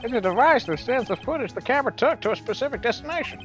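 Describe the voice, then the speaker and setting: A performer voicing a scruffy, eager, bumbling male police detective with a folksy, excitable manner in a live game fan dub. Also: medium pitch, racing, close to an everyday voice. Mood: earnest. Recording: music behind the voice.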